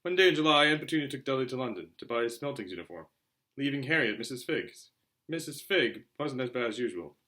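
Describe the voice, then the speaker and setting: A man narrating a children's novel aloud in an expressive storytelling style. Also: mid-range voice, fast talker. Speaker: audiobook reader.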